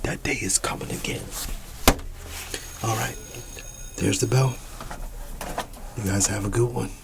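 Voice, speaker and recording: A man doing a soft-spoken ASMR roleplay as an easygoing, quirky schoolteacher, often dropping into a whisper and repeating short, folksy, reassuring phrases. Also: on the low side, fairly even intonation, a little slow. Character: quirky, easygoing male schoolteacher addressing his class. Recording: clearly audible background noise.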